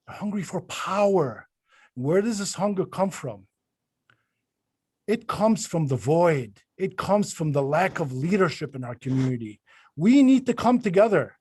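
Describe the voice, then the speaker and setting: A man with a fairly high voice, recorded dry and close, delivers a campaign speech as a candidate. He is emphatic and rhetorical, building up short repeated phrases. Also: a little mellow, dry recording. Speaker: mayoral candidate giving closing statement.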